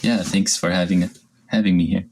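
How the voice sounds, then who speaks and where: A man's voice: sits low, fairly even intonation, normal speed, slight background noise. A man from Alaska, a guest, introducing himself in a hesitant way with small restarts. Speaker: guest, language technologist. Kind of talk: casual self-introduction.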